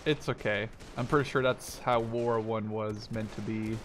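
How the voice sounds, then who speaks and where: A man's voice: fairly low pitch, fairly even intonation, moderate pace. A man in his twenties talking casually as he plays, explaining things matter-of-factly. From Netherlands. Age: 20s. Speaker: gamer, video creator. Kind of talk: casual gameplay chat. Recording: gunfire and artillery game noise behind. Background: game battle sounds, artillery.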